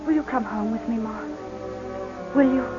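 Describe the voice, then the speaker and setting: Emotional wife, high-voiced, muffled old radio. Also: female voice, slow pace, loud music behind the voice. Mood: tender, remorseful. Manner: tender, pleading, breathless melodrama. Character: devoted, emotional, remorseful wife of a gentleman. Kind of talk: 1940s radio drama acting.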